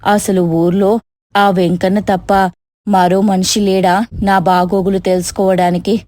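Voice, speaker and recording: A humble older village mother-in-law, played by a woman in a cartoon moral tale. Her voice is low and motherly, plain-spoken, recorded dry and close. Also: little pitch movement, unhurried, darkish tone, no echo, lightly acted. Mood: reproachful. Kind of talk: moral story cartoon voice acting.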